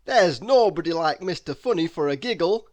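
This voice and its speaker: A woman's voice: fairly low pitch, animated, normal speed, a little mellow, lightly acted. A woman voicing a cheerful, kindly storybook man with a bouncy, emphatic delivery. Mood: encouraging. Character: cheerful, kindly happy man, eager to help.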